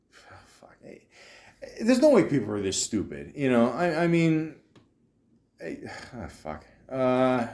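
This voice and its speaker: A man hosting a casual comedic podcast, rambling and halting, with profane, sardonic asides. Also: mid-range voice, a little mellow. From Canada. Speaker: podcast host.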